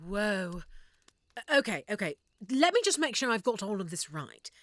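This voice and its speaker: A woman playing an affectionate, excitable half-elf shopkeeper in a roleplay audio drama, speaking expressively and warmly to the listener. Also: medium pitch, normal speed, no echo, clean studio sound, close to an everyday voice. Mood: intrigued, attentive. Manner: expressive, warm, reacting with feeling.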